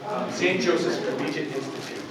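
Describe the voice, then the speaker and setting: A man's voice: a little high, a little fast, over a noisy crowd. Man lecturing in an echoey hall. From Buffalo, New York. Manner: relaxed, self-deprecating, frequent ums and restarts. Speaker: university professor. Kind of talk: public lecture with slides. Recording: echoey hall from far off with noise.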